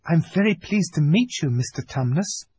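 A man's voice: on the high side, expressive, normal speed, a little mellow, slightly rough, dry recording, pitched above the performer's own voice. A man voicing a polite, innocent and puzzled young girl in a storybook, speaking simply and hesitantly.

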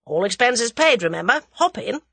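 A woman voicing a cheery, matter-of-fact young English man with a jaunty, brisk manner in a storybook. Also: on the high side, very animated, a bit bright, no echo, voice pushed up, heavily acted.

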